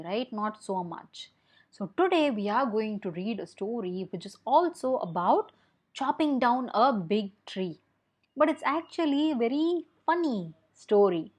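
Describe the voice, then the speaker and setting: A young woman with an Indian accent telling a picture-book story to children, explaining as she goes and asking questions in an expressive way. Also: mid-range voice. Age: young adult.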